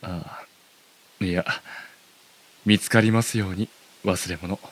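A man playing a gentle, courteous middle-aged community chairman in an audio drama, speaking politely. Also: sits low, fairly even intonation, takes it slowly, breathy edge, full character voice. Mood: kindly, calm. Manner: polite, softly advising.

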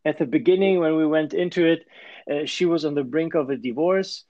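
A man's voice: a little high, fairly quick, darkish tone, no room sound, highs cut off. A man with a German accent, a coach talking as a guest in a podcast interview and explaining his methods with frequent restarts. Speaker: life and performance coach, guest.

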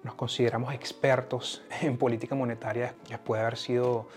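A man's voice: medium pitch, flat delivery, a little fast, faint music behind. A man with a Venezuelan accent hosting an explanatory podcast in a partly scripted monologue. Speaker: podcast host.

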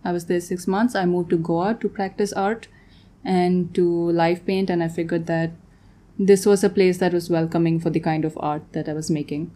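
Young woman from Tanzania, warm low tone, relaxed guest who laughs often and trails off. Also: normal speed. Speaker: guest artist. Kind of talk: casual interview chat.

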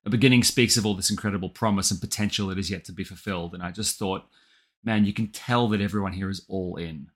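A man's voice: low-pitched, steady tone, quick pace, a bit bright. An Australian man chatting casually as an interview guest, effusive and colloquial about his writing work. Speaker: guest, audio drama writer.

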